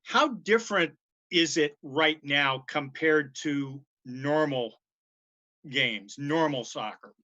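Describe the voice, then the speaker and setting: A man's voice: a little high, slow, pushed and bright, lo-fi sound. A man working as a reporter, putting questions at a press conference.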